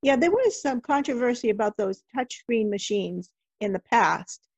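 A woman's voice: fairly high pitch, animated, normal speed, no room sound. A woman acting as interviewer, asking questions in a conversational style. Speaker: interviewer, host. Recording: dull, lo-fi, dry audio.

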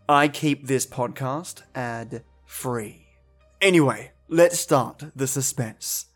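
A man's voice: medium pitch, slow, faint music behind. A man introducing old radio episodes as a podcast host, in a playful, theatrical way.

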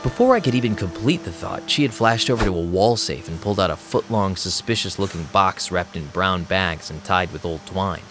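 Casting: male actor as slangy, self-doubting vampire DJ narrator, lowish voice, audio drama with light music underneath. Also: lively intonation, brisk, few pauses. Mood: wry narrating. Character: anxious, wisecracking young male vampire DJ. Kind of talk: first-person audio drama narration and dialogue.

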